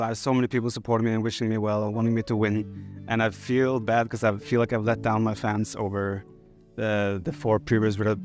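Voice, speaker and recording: A man giving a hurried, grateful post-match interview with fillers. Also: fairly low pitch. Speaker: pro gamer interviewee.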